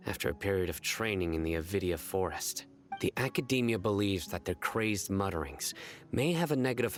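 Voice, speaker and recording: A man's voice: on the low side, fairly bright tone, lightly acted. A man voicing a stern, learned young official in a video game, speaking formally and precisely. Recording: music behind the voice.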